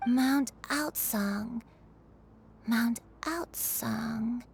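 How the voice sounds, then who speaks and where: An actress playing a gentle, troubled woman with no memory in a video game, speaking hesitantly and wistfully. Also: fairly high pitch, extremely slow, slight background noise. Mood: puzzled. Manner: hesitant, soft-spoken, wistful. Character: gentle, troubled amnesiac woman called Granny.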